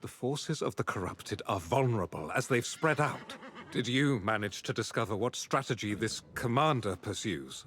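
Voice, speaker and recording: A man playing a sober military leader in a fantasy video game, with formal, measured wording. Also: mid-range voice, lively intonation, fairly bright tone, faint music behind, lightly acted. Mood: grave, pleased. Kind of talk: scripted video game dialogue.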